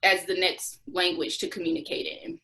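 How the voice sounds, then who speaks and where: A woman's voice: fairly low pitch, fairly even intonation, moderate pace, gravelly, sharp tone, poor audio. A young adult woman answering interview questions, recounting experiences as a former volunteer with restarts and occasional laughs.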